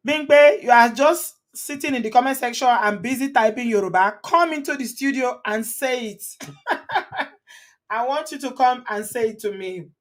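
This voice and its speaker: A woman with a Nigerian accent hosting a call-in show, chatting casually and playfully with teasing, expressive interjections. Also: high voice, measured pace. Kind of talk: casual chat with callers.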